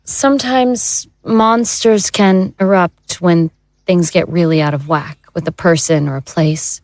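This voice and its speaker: A woman plays a knowledgeable occult investigator in an audio drama. She explains things with composure in a slightly low voice, recorded dry. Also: a little slow, darkish tone, no echo. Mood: calm, intrigued.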